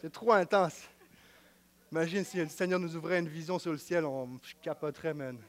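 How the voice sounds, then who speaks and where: A man with a Quebec French accent preaching a sermon in an emphatic, repetitive style, swinging between loud declaiming and quiet, close talk. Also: on the high side, steady tone, normal speed.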